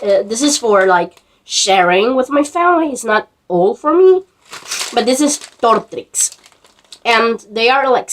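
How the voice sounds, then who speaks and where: A woman in her twenties from Peru, rambling casually in a vlog, halting with many fillers and restarts. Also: on the high side, slight background noise. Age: 20s. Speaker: vlogger.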